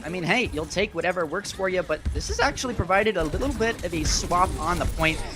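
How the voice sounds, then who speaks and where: A man's voice: high voice, lively intonation, fairly quick, background noise. A man giving live play-by-play esports commentary in a conversational style with wry phrasing. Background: music.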